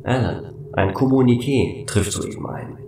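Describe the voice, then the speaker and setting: A man's voice: medium pitch, darkish tone, natural voice. Man acting a distant, echoing computer assistant. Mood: matter-of-fact. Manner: measured, formal, matter-of-fact. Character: calm polite male station computer assistant. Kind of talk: radio drama acting. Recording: roomy, distant, faint noise.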